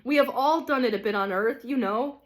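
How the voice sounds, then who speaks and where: A woman voicing a gentle, radiant heavenly woman who pleads tenderly and urgently. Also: fairly high pitch, moderate pace, pitched above the performer's own voice, close to an everyday voice.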